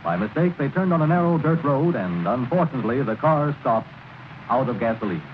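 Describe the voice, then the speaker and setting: A man's voice: medium pitch, lively intonation, a little fast. A man narrating as a radio announcer in scripted 1930s style. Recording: crackly 1930s radio broadcast, narrow band.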